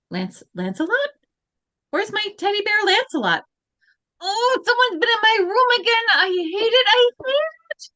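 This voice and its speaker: A woman's voice: very high-pitched, measured pace, long pauses. A woman reading the lead teenage girl part in a live video-call read-through, moving between whiny protest and grand recital. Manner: lively, swings between whiny protest and grand recital, breaks off to laugh at slips. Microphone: video call microphone.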